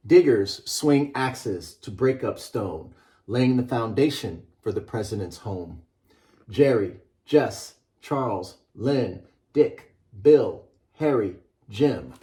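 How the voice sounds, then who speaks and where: A man's voice: lowish voice, expressive, slow, mellow. A middle-aged man, a children's author, presenting and reading a picture book aloud with an emphatic, rhythmic delivery.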